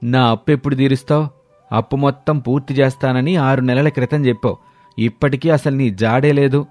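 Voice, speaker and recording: A man voicing a stern village moneylender who demands his debt be repaid, speaking loudly and threateningly. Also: mid-range voice, steady tone, a little fast, soft background music, voice pushed up, lightly acted.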